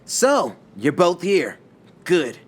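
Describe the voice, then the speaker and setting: A man's voice: on the high side, very animated, normal speed, pitched above the performer's own voice. A man voicing a cheerful, cocky teenage boy ninja in a story reading, talking in an upbeat, casual and eager way. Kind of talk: fan fiction story reading.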